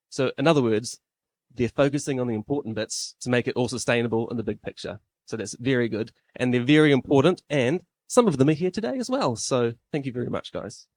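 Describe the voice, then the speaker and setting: A man's voice: mid-range voice, a little mellow, dry recording. A man in his thirties with a New Zealand accent, emceeing a live event in a classroom-style room, chatty and self-deprecating.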